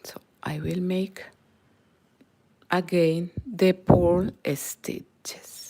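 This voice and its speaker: A woman's voice: fairly low pitch, little pitch movement, extremely slow, mellow, a little hiss or hum. A middle-aged Peruvian woman with a Spanish accent, a native Spanish speaker, giving a live crochet tutorial in careful non-native English that often drops to a near whisper. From Peru. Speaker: crochet channel host.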